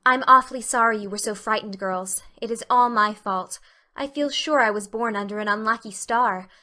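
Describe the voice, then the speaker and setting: A spirited teenage girl as a woman reader plays her in an audiobook. Her voice is bright and fairly high, and she speaks with earnest, theatrical emphasis. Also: moderate pace. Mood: indignant, remorseful. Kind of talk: audiobook character acting.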